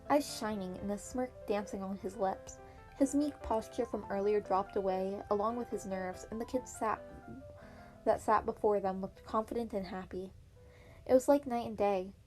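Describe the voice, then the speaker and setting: A woman's voice: mid-range voice, warm tone. A woman reading fan fiction aloud as an amateur audiobook narrator. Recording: piano music behind the voice.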